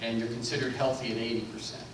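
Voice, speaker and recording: Male panelist, mid-pitched, firm and explanatory; distant, roomy, noisy recording. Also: flat delivery, quick pace. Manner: emphatic, explanatory, numbers-heavy. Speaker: panelist and policy expert. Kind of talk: public forum panel talk. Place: large echoey meeting room. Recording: echoey, distant room recording with noise.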